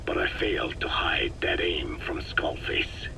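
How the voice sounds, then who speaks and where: An actor playing a very old, dignified Navajo scientist, a native Navajo speaker, speaking gravely and formally with a breathy rasp. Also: male voice, low-pitched, lively intonation, whispered, full character voice. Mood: grave, determined. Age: older. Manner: grave, deliberate, formal phrasing. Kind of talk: slow solemn storytelling dialogue in a video game.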